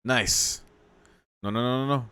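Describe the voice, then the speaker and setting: A man's voice: mid-range voice, fairly even intonation, unhurried, pushed and bright, clear, resonant tone, no echo. A man doing casual gameplay commentary as a video host, reacting with exclamations and mock exasperation.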